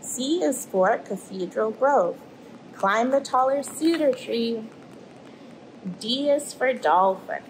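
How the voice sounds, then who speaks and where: A woman, reading a picture book aloud to children in an animated storytelling manner. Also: on the high side, slow pace, a little hiss or hum, poor audio. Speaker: storybook reader and host. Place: indoors in front of an aquarium tank.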